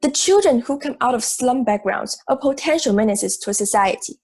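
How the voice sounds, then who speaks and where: A woman's voice: on the high side, expressive, normal speed, darkish tone, breathy edge. A woman playing a logical, assertive, matter-of-fact juror in an amateur audio drama. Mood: firm.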